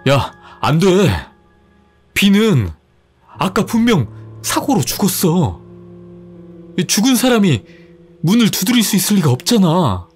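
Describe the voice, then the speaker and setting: A man narrates as a group of anxious college seniors, his voice pushed high as they warn, urgent and stern. The words come slowly, with a husky grain. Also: sing-song, soft background music, full character voice. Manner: urgent, warning. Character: anxious older college students, urgent and warning.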